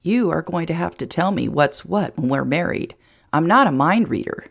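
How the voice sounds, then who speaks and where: A woman's voice: deep voice, lively intonation, normal speed, gravelly, heavily acted. A woman voicing an earnest, lovestruck, plain-spoken small-town sheriff in an audiobook romance, fond and a little exasperated. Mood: fond, puzzled. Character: earnest, blunt, lovestruck adult male sheriff turned rancher.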